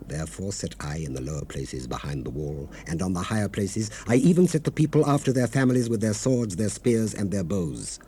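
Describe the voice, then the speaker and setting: Casting: a devout, declamatory Bible leader, low mellow voice, solemn and earnest, old cassette hiss. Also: male voice, lively intonation, fairly quick, few pauses. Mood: solemn, measured. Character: Nehemiah, earnest, devout male leader recounting his people's struggle. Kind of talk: dramatized scripture reading, solemn narration. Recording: hissy 1970s cassette rip.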